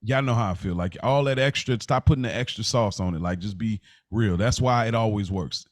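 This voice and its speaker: Low-voiced man, casual podcast host. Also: fairly quick, no room sound, clean studio sound. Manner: informal, slangy, emphatic repetition.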